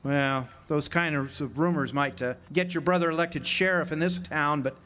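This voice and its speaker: Wry, world-weary drifter, lifted voice. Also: male voice, fairly high pitch, steady tone, moderate pace, pushed and bright. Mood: skeptical, wry. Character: world-weary drifter, ex-jailbird man, sardonic and defiant. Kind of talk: radio drama acting with first-person narration. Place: live amphitheater stage performance. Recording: live stage recording with music.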